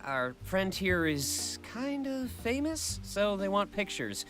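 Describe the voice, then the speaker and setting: A man's voice: high-pitched, sing-song, takes it slowly, music in the background, pitched above the performer's own voice, full character voice. A man voicing a blunt, doubtful male friend in a visual novel.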